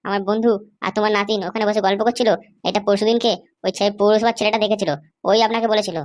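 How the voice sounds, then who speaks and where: Woman voicing an insolent, rapid-fire young sidekick. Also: medium pitch, level tone, natural voice. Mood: accusing, mocking. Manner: accusing, mocking. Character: meddling, insolent young male sidekick of suitor. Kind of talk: amateur comedy cartoon dub.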